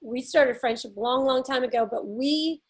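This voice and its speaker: A middle-aged woman from Nashville with a Southern accent, co-hosting a podcast in casual, laughing chat with frequent ums. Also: high voice. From Nashville, Tennessee. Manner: chatty, laughing often, drawn-out pauses with ums. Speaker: podcast co-host.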